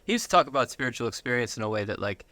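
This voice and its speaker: A man speaking as an interview guest, conversational and halting, often repeating words as he searches for phrasing. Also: mid-range voice, lively intonation, bright, forceful tone, dry recording. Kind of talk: conversational personal testimony.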